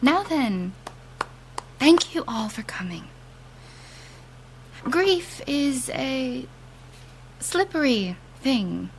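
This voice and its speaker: Slow, solemn woman leading a grief group. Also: high voice, sing-song, a little hiss or hum, full character voice. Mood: solemn, welcoming. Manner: measured, formal, deliberate phrasing. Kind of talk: fiction podcast acting.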